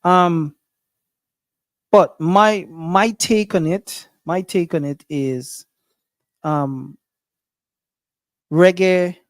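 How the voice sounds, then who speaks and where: A man's voice: fairly high pitch, dry recording. A man with a Guyanese accent hosting a casual livestream, thinking aloud with many fillers and restarts. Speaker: livestream host.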